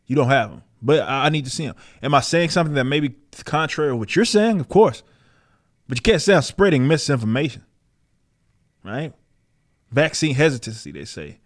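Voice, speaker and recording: A man's voice: medium pitch, very animated, brisk. A man giving casual, opinionated podcast commentary in a combative, emphatic manner with plenty of swearing. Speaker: podcast host.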